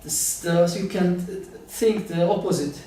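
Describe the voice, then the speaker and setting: High-voiced older man, slow and halting. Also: warm tone, a little hiss or hum. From Turkey. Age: middle-aged. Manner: halting, searching for words in English. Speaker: interviewee. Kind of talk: casual interview answers. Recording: very echoey room, distant mic.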